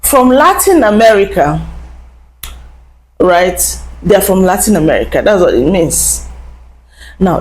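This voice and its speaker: A woman's voice: medium pitch, a little mellow, poor audio. Woman, host, lecturing tone, rhetorical questions. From Africa. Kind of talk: explanatory talk to viewers.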